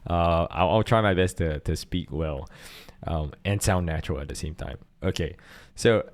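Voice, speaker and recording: Low-voiced Singaporean man, earnest. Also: normal speed. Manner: earnest, thinks aloud with restarts. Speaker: podcast co-host. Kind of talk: casual chat.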